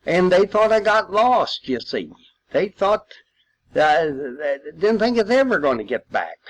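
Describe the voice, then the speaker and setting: Older male veteran, rural Missouri accent. Higher-pitched voice, very unhurried, folksy storyteller. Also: big pitch swings. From Missouri, United States. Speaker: World War II veteran storyteller. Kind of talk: rambling personal reminiscence.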